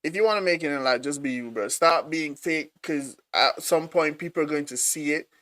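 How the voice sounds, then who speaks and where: A man hosting a live reaction stream, talking excitedly to his viewers and calling them bro. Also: on the high side, normal speed. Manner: excited, talks to viewers, says bro a lot.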